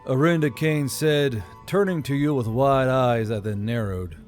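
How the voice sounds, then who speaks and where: Man narrating a story over soft music. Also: mid-range voice, normal speed. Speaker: audiobook narrator. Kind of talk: scripted fantasy story narration. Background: faint music.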